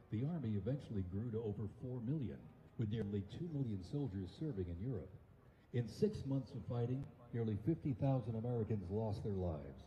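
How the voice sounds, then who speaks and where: A man's voice: fairly low pitch, dull audio. A man giving scripted, formal historical narration in a documentary clip. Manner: measured, formal.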